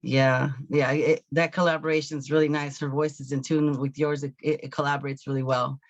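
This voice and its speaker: A man hosting a casual interview, hesitant and searching for words, with agreeable interjections and a creaky voice. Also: on the high side, flat delivery, a little fast, dry recording, muffled recording. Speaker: talk show host interviewer.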